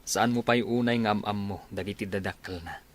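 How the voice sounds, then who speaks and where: A man's voice: lowish voice, fairly even intonation, brisk, slight background noise, natural voice. A man playing an easygoing young soldier in radio drama dialogue. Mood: earnest, advising. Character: easygoing young soldier, teasing elder-brother type.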